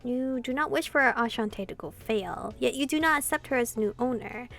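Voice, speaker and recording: A woman streamer reading game dialogue aloud and reacting with casual, expressive commentary. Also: on the high side, moderate pace, gravelly. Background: game music.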